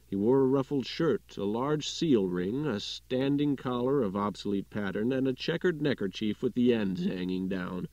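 Male narrator, medium pitch, scripted literary reading, dry sound, slightly dull recording. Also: mellow, no echo. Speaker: audiobook narrator.

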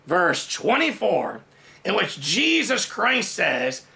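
A man in his forties, raised in Thailand, preaching a sermon in his study and telling a personal testimony with lively hand gestures, recorded on a camera at desk distance. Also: sits high, takes it slowly, creaky. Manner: animated storytelling with big hand gestures. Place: home study with bookshelves, indoors.

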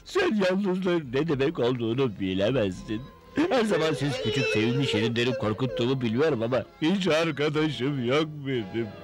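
A man's voice: medium pitch, lively intonation, moderate pace, natural voice. A man playing a greedy, scheming cartoon wizard who fakes kindness in an oily, theatrical, mock-sweet manner. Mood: mock-sweet, pleading. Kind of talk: animated cartoon dubbing. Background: background music.

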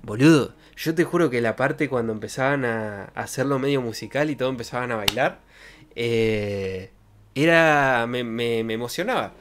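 A young Argentine man talks casually on a livestream. His voice is medium-pitched and close to the mic, with a jokey, slangy, rambling delivery. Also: normal speed, fairly bright tone. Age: young adult. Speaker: streamer. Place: small bedroom streaming setup. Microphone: desk microphone with pop filter, close.

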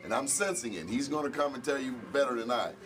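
A man's voice: mid-range voice, expressive, fairly bright tone, a little hiss or hum. A middle-aged man, an event organizer and radio host, speaking reflectively in an on-camera interview in an indoor hall. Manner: reflective, measured, gestures with hands.

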